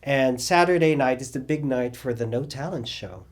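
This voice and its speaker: A middle-aged man telling his story in an oral history interview, rambling and animated, with many fillers and restarts. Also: medium pitch, normal speed. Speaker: interviewee, cabaret singer and drag performer.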